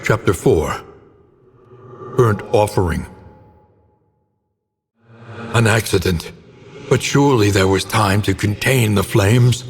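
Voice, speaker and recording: A very low-voiced, grave old narrator of dark gothic tales for a horror game. He delivers each phrase theatrically and with great deliberation. The voice rings with long reverb over audible background noise. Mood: grave, ominous. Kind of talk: dramatic scripted storytelling narration.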